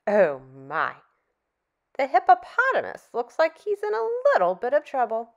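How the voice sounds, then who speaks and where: A woman in her thirties hosting a read-aloud for preschoolers, with sing-song, exaggerated, animated enunciation. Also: fairly high pitch, moderate pace, gravelly, highs cut off. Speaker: storytime host for young children.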